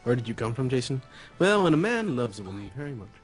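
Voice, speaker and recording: A man's voice: medium pitch, very animated, quick pace, soft background music. A man chatting casually and wryly as a joking co-commentator on a gaming show. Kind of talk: casual chat.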